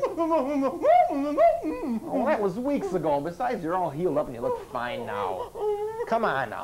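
A young man playing a falsely cheerful, menacing janitor who hosts a parody children's puppet show, talking in a coaxing, patronizing way. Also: high-pitched. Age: young adult. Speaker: host. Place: small indoor puppet-show studio set.

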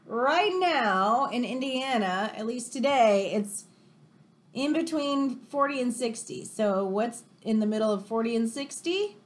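High female voice, American accent, thirties, unhurried storytime read-aloud, expressive questions and exclamations, home recording. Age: 30s. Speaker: teacher reading to children. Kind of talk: animated read-aloud of a picture book with chatty asides. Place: room in a home, indoors.

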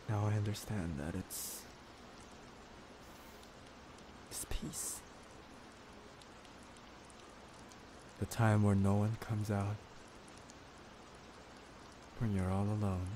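A man voicing an obsessive, tender young yandere in an intimate, halting, hushed ASMR roleplay. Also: on the low side, fairly even intonation, pitched below the performer's own voice. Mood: wistful. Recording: clear background noise.

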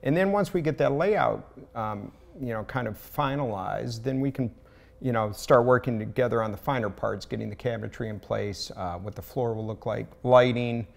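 A man's voice: medium pitch, normal speed, gravelly. A middle-aged man with a Southern US accent, a contractor explaining a remodel in a casual interview, with hesitant fillers. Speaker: building contractor, guest. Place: large home kitchen, indoors.

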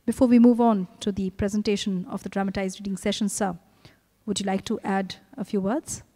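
A middle-aged Indian woman reads prose and verse into a handheld mic. Her tone is warm and relaxed, her delivery measured and dramatic, with deliberate pauses. The sound rings in a big reverberant hall. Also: medium pitch. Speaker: presenter reading literary prose and verse. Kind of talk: measured dramatic literary reading. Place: stage in a large hotel ballroom. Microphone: handheld microphone. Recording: reverberant hall, live stage microphone.

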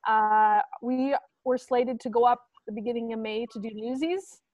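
A woman's voice: on the high side, steady tone, moderate pace, no echo, phone-quality sound. A woman, a teacher explaining something informally to peers on a video call. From Canada. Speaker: middle school teacher.

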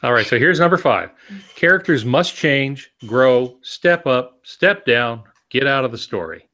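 A man in his sixties explaining things in a measured, instructive way during a discussion on a video call. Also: mid-range voice, lively intonation, bright, forceful tone. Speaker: podcast co-host, writing mentor. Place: home office, on a video call.

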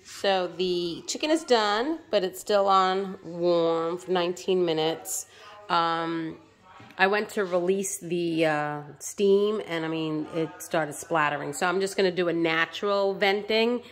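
A woman's voice: lowish voice, unhurried. Chatty woman cooking at home. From United States. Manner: chatty, narrating each step as she cooks. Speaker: home cook vlogger. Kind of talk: casual cooking narration. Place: home kitchen.

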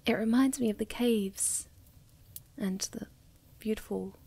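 A young woman playing a sweet, ditzy, shy moth girl in an ASMR roleplay, speaking softly and breathily with gentle stammers, often near a whisper. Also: on the high side, a little slow, creaky, slightly rough, exaggerated voice. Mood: tender, drowsy. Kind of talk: soft-spoken ASMR roleplay.

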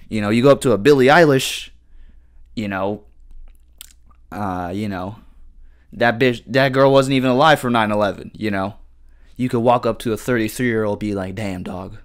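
Rambling, slangy young man. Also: on the low side. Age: 20s. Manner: rambling, slangy, swears a lot, many restarts. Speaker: podcast host. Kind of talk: casual solo podcast monologue.

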